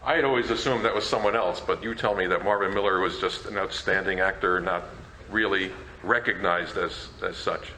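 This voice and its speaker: A man's voice: deep voice, brisk, few pauses, bright, forceful tone, soft background music. A man co-presenting an informal talk to an audience. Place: presentation room at a convention. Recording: echoey room.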